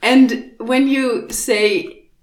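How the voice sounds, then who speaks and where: A woman's voice: fairly high pitch, fairly even intonation, drawn-out words. A woman hosting a casual interview, encouraging her guest and asking follow-up questions. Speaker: podcast host. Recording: roomy echoey sound.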